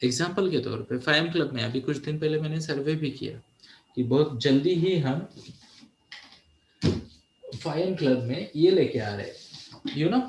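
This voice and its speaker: A man's voice: a little high, normal speed, pauses often, darkish tone, echoey room, a little hiss or hum. A man in his forties, a teenage mentor, giving a motivational talk and often asking his listeners "है ना?". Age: 40s. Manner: mixes English phrases into Hindi, asks "है ना?" often.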